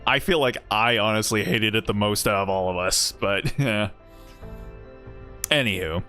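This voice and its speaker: A man's voice: on the low side, measured pace, bright, forceful tone, faint music behind. A man chatting casually on a game stream, rambling and cutting himself off, with frequent laughs. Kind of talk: casual game stream chat, reading game text aloud.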